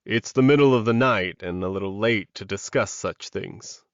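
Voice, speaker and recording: A man voicing a calm, protective male werewolf alpha in an audiobook, measured and reassuring. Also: on the low side, no room sound, dull audio, natural voice. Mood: advising.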